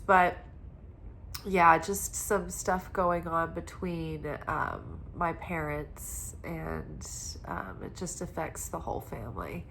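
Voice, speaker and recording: A woman tarot reader talks slowly in a slightly low voice, stuffed up and congested from crying. Her manner is soft and meandering, drifting through spiritual talk. A faint hum of room noise sits behind her. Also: creaky, low-quality recording. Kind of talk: weekly tarot reading talk.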